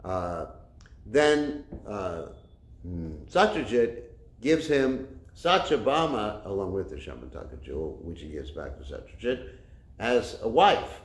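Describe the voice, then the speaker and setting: A man with a loud, somewhat low voice gives a religious class, wandering through a story with frequent fillers and false starts. There is no microphone on him, so the sound comes off the walls of an echoey room. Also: big pitch swings, measured pace. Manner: rambling, many fillers and restarts. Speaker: spiritual teacher. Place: class room with a kitchen nearby. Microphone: no microphone, room recording.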